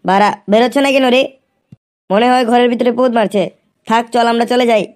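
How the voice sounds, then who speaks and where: A man's voice: very high voice, steady tone, fast, clean tone, no echo. A man voicing a gossipy, crude young village lad in a comic cartoon. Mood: irritated. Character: foul-mouthed young village lad, eager gossiping sidekick.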